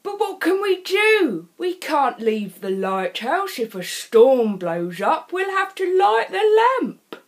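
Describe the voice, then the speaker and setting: A woman plays a kindly old grandfather from a children's storybook, full of worry. The words are drawn out and emphatic, pitched high and swooping anxiously. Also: sing-song, takes it slowly, hardly any pauses, full character voice. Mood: worried. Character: worried, kindly old grandfather lighthouse keeper.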